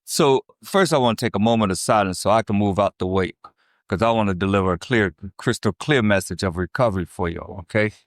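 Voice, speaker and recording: A man's voice: on the low side, brisk, no room sound, clean studio sound. A man in his fifties from Chicago's South Side, with a Chicago accent, giving a blunt, profane recovery testimony to a meeting, often checking in with "right?". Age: 50s. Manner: blunt, streetwise and profane, with frequent "right?" asides. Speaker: recovery speaker.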